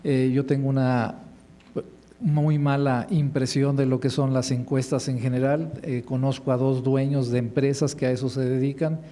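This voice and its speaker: Mellow-voiced male professor on a panel. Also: mid-range voice, level tone, moderate pace. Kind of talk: academic panel commentary.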